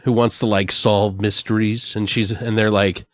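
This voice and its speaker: A man's voice: on the low side, little pitch movement, normal speed, no room sound. A man co-hosting an improvised comedy podcast, telling a story casually with plenty of swearing and acting out bits. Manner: profane, jokey, acts out characters' lines mid-sentence.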